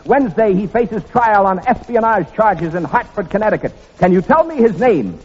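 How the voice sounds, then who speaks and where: A high-voiced American man plays formal quizmaster on 1940s radio. His delivery swings widely in pitch while staying precise. It comes through muffled, with long theater reverb. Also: expressive, normal speed, darkish tone. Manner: crisp formal showman, repeats and spells out items precisely. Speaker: quiz show host. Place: large theater before an audience.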